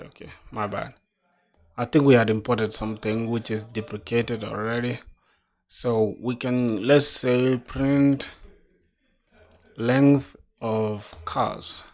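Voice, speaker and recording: Young man, from South Sudan, self-taught coder, slow and thoughtful, casual think-aloud. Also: medium pitch. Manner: casual, musing, reads docs aloud, says "bro". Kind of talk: thinking aloud while coding.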